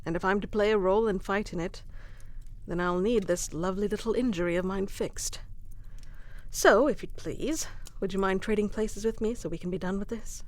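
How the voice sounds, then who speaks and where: A woman's voice: medium pitch, normal speed, close to an everyday voice. A woman playing a proud, protective warrior queen in an intimate fantasy audio roleplay, speaking with formal, wry, commanding diction. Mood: wry, strained.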